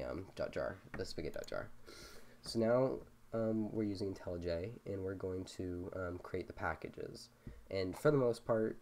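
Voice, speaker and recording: Low-voiced man with a cold, um-filled. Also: dark tone, a little hiss or hum. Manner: casual, halting, frequent ums. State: slightly congested from a cold. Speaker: programming tutorial host. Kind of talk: screen-recorded coding walkthrough.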